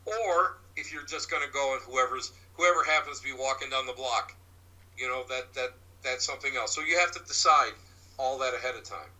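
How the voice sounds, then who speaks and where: A man with a loud voice, answering interview questions about his paranormal work in a rambling way, full of restarts and fillers. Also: medium pitch, brisk, rough recording. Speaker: paranormal investigator and medium. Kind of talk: interview guest, casual explaining.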